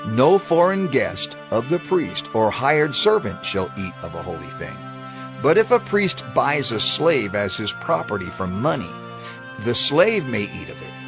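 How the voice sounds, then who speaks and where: An actor playing a solemn, instructive reciter of divine law in a formal, measured dramatized scripture reading. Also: male voice, medium pitch. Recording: music under the voice. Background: music.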